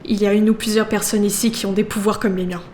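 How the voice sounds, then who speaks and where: An actress playing a cautious adventurer, wary and restrained, in an audio drama. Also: female voice, medium pitch, level tone, quick pace, a little mellow, lightly acted. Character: cautious female companion with secret powers. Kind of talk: French audio drama acting. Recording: roomy echo, faint noise.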